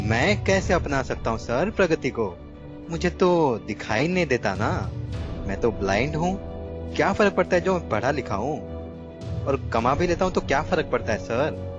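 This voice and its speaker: An actor playing a proud, bitter blind young man in a radio play, speaking earnestly and with reproach, in a muffled recording with music behind. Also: fairly high pitch.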